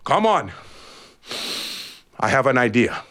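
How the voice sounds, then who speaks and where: A man voicing a wise, patient eagle who speaks slowly, firmly and coaxingly. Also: medium pitch, sing-song, fairly bright tone, voice pushed down. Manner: slow, firm and coaxing.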